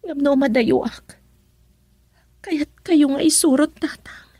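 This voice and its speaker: A young Ilocano daughter in a radio drama, gentle and devoted to her father. Her voice sits very high, and the sound is dry, with no room around it. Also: measured pace, no echo. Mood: earnest, sad.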